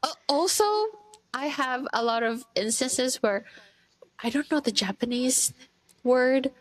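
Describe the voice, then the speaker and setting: A woman's voice: high voice, a little slow, no echo, rough recording. A woman co-hosting a casual podcast chat, playful and self-mocking, with frequent laughs. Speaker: podcast co-host.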